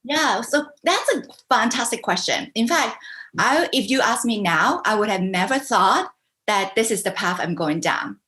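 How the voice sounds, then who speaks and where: A woman's voice: fairly high pitch, animated, unhurried, bright, forceful tone. Enthusiastic woman guest on a video call. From Hong Kong, raised in Canada. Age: middle-aged. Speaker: podcast guest, business coach. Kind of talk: casual interview chat.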